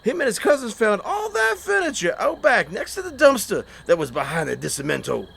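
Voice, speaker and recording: A man's voice: high voice, very animated, a little fast, gravelly, fairly bright tone, a little hiss or hum, full character voice. A man playing a mild-mannered backwoods younger brother with a Southern US accent, talking in earnest, simple country phrases in a comedy horror audio drama. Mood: helpful, simple.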